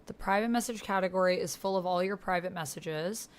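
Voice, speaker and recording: Low, clear-voiced woman chatting through a game. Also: a little fast. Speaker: host and player of an online text roleplaying game. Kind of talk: casual explanatory chat while playing, with some reading aloud.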